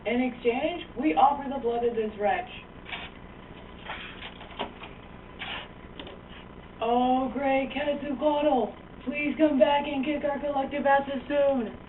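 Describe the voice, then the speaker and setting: Woman playing a high-voiced pompous puppet king. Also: fairly even intonation, unhurried, hardly any pauses. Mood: solemn, pleading. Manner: imperious declaiming, comic slangy asides. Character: pompous, ill-tempered male Aztec king, puppet show. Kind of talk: amateur comic puppet show. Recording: tinny distant lo-fi mic, noisy room.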